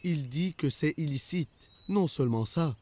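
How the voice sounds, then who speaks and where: Mellow, highish-voiced pious servant. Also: male voice, lively intonation, unhurried. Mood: deferential, grave. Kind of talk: animated religious drama acting.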